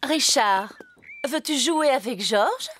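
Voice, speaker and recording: A woman voicing a gentle, patient rabbit mother in a French children's cartoon dub, speaking coaxingly. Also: sits high, animated, slow pace, a little hiss or hum, full character voice.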